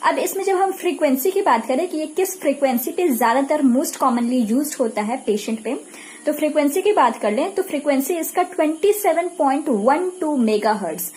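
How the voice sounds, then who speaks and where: High-pitched Indian woman giving a lecture. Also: expressive, moderate pace, darkish tone, slight background noise, low-quality recording. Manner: didactic, repetitive, asks and answers own questions. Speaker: physiotherapy lecturer. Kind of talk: explanatory lecture mixing Hindi and English.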